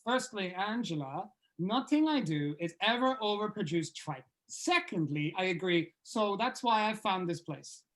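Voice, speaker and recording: A woman's voice: medium pitch, expressive, measured pace, hardly any pauses, lightly acted, low-quality recording. A woman playing a breezy, self-assured bandleader in an amateur script read-through. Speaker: table read participant.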